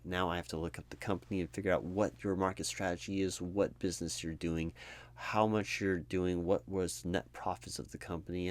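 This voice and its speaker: A man doing a conversational ASMR roleplay as an apathetic, low-energy recruiter, rambling in a jaded, sardonic way. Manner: rambling, sardonic asides mimicking corporate talk.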